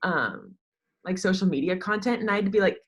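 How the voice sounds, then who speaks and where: A woman's voice: medium pitch, big pitch swings, a little fast. A woman in her twenties with an American accent, chatting casually as an interview guest and peppering her sentences with "like". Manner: informal, chatty, heavy use of "like". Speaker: podcast guest, sports social media worker.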